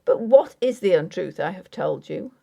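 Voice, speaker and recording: A woman's voice: lowish voice, animated, normal speed, creaky, mellow, heavily acted. A woman voicing an earnest but impatient Victorian husband in an audiobook, formal, placating and patronizing. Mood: concerned. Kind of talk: dramatic audiobook character acting.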